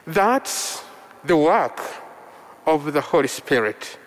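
A man from Uganda whose first language is Luganda, preaching a sermon in slow, measured short phrases. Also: a little high, big pitch swings. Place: church. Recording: long reverb of a large hall.

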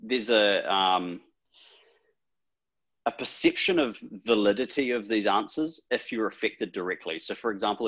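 A man's voice: lowish voice, fairly bright tone, husky, lo-fi sound. A man with a New Zealand accent hosting a casual podcast, talking things through thoughtfully with plenty of fillers. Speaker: podcast host.

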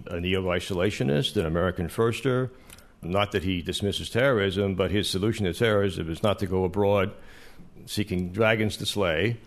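A man with a low voice speaks as an academic on a live panel. His delivery is measured and analytical, working through long, qualified sentences off the cuff. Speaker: panelist, international relations professor. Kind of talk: extemporaneous political analysis on a panel. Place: live event space before an audience.

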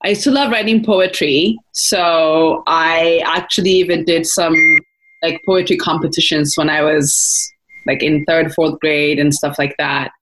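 Low-voiced woman from Ethiopia, chatty guest. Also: fairly even intonation, measured pace, slight background noise, poor audio. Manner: thoughtful, many fillers and restarts. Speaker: founder, guest. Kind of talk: casual interview talk.

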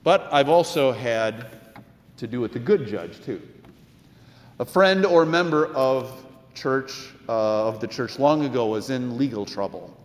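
A man's voice: medium pitch, expressive, a little slow. Older american guy preaching, chatty reflective pastor telling stories, big echoey church. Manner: conversational, reflective. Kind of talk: sermon with personal anecdotes. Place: large indoor church. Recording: echoey hall with long reverb.

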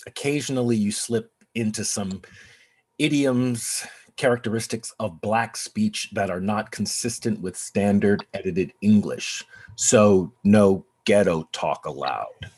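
A man's voice: fairly low pitch, measured pace, a little mellow, husky. A man reading the part of a candid, informal young college student in a play. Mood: questioning. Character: candid, questioning young male college student starting over. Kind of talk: readers theatre play reading.

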